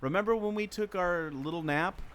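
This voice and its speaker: A man's voice: high voice, moderate pace, fairly bright tone. A man playing a resourceful, know-it-all former mariner who explains things emphatically in a radio adventure. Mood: exasperated. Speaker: podcast host acting in audio drama. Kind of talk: amateur radio adventure acting. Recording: noticeable ambient background noise.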